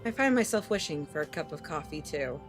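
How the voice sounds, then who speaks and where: A woman streamer reading a visual novel aloud and commenting casually, animated, expressive and free with swearing. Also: low-pitched, brisk. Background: game music.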